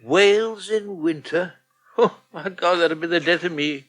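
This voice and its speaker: Radio soap: elderly, self-pitying Englishman. Genteel grumbler, dry wit, slightly high forceful voice. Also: a little slow. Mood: grumbling. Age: older. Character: frail, self-pitying old man, fussy and drily gloomy. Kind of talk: British radio soap acting.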